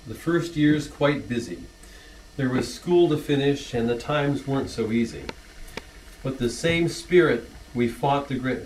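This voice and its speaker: A middle-aged man reads his own rhyming poem aloud, earnest, pausing now and then with a soft laugh. His voice sits at an ordinary pitch. He is heard from across a church hall on a camcorder, with faint room noise behind him. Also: recorded from a distance. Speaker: son reading an anniversary poem.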